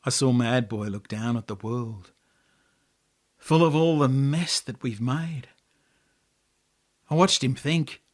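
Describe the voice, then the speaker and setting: An Australian man reading a story as its author, with expressive, dramatic delivery and deliberate pauses. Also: mid-range voice. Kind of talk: dramatic storytelling, scripted narration.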